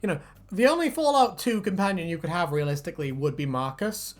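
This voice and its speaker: A woman's voice: fairly low pitch, animated, quick pace. A woman chatting casually and jokily as a co-host, swearing freely and prone to giggling. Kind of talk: casual banter, reacting to fan comments.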